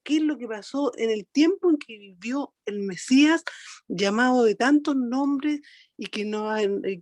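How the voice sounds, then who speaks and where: A woman's voice: a little high, darkish tone, no room sound, clean studio sound. A woman from Chile, a student asking a question hesitantly, searching for her words.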